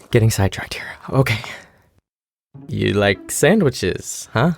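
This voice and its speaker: A man's voice: lowish voice, expressive, slow, creaky, a little hiss or hum, heavily acted. An actor playing a shy, awkward young male newcomer in an audio drama, hesitant and rambling. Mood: nervous.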